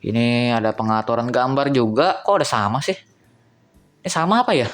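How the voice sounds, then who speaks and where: A young man talks viewers through a budget phone, thinking aloud. His voice sits in an ordinary middle pitch. Soft music plays faintly under his chatter. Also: expressive, fairly quick. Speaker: phone reviewer. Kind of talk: casual phone review vlog.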